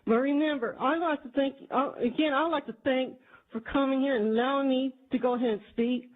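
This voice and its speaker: Impassioned high-voiced Texan woman in her forties. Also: steady tone, a little fast. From Houston, Texas. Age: 40s. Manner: impassioned, indignant, repetitive. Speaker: citizen testifying at a hearing. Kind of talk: public testimony. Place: legislative committee hearing room. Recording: tinny, phone-like archive audio.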